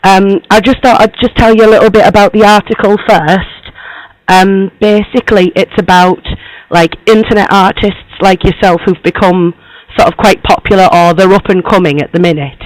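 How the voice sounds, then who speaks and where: Hoarse, high-pitched young woman reporter, played by a man, rambling and filler-heavy, dry sound. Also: steady tone, moderate pace, dry recording, close to an everyday voice, rough recording. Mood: friendly, chatty. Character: young woman magazine journalist, chatty and polite. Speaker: prank caller posing as interviewer. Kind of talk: prank phone call.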